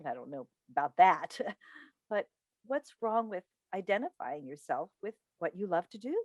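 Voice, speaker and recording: A woman playing a defensive daytime talk-show host and mother in a staged play reading, with a halting, earnest delivery. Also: on the low side, sing-song, a little mellow, dry recording, studio quality. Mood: polite, unsure. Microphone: video call microphone.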